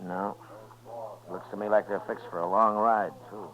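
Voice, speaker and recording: Deep-voiced, laconic American frontier marshal played by an actor. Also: male voice, fairly even intonation, moderate pace, natural voice. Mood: watchful, decisive. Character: steady, wry Old West US marshal, adult man. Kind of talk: 1950s radio western acting with first-person narration. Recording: muffled 1950s radio recording with music.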